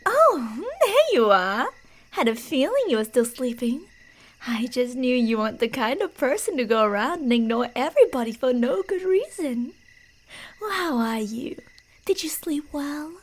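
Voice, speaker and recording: High-voiced creepy yandere stalker girl, acted by a woman. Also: very animated, normal speed. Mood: playful, coaxing. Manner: teasing, falsely sweet, breathy whispers mixed with soft speech. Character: creepy delusional yandere woman stalker posing as park ranger. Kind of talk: ASMR roleplay.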